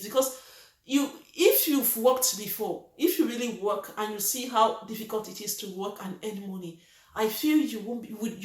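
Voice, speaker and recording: A woman's voice: medium pitch, darkish tone. Nigerian lady giving her opinion on camera, earnest, kinda echoey room. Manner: earnest, emphatic, repeats herself. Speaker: vlogger. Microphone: reads from a list below the camera.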